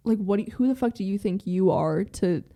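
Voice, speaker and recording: A young woman talks in a loose, rambling stream, leaning on "like" and dropping swear words. Her voice is mellow and warm rather than bright, with pitch sitting in a middle range for a woman. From American with Iranian family. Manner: fast rambling talk, heavy use of "like" and swearing. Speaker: podcast guest. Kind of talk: candid casual conversation.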